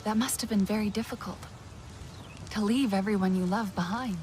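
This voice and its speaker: A woman's voice: medium pitch, normal speed, a little mellow, slight background noise, lightly acted. An actress playing an earnest, curious young female alien warrior in a video game cutscene. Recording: music behind the voice.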